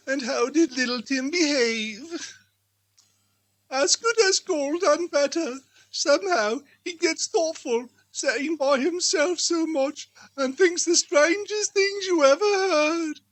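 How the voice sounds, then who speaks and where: A man voicing a tender, excitable poor father in a theatrical storybook reading, emphatic and sentimental. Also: very high voice, pitched above the performer's own voice, full character voice.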